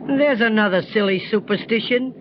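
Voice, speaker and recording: An actor playing a scoffing, dismissive card player in a 1930s radio drama, on a dull, noisy old recording. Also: male voice, high-pitched, normal speed, close to an everyday voice. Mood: scornful. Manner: dismissive, emphatic.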